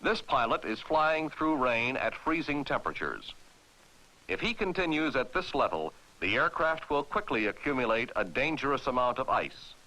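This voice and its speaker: American man, crisp authoritative narrator, mid-pitched, animated intonation, scripted 1960s military training film, vintage soundtrack. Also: normal speed, poor audio. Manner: authoritative, clearly enunciated, formal.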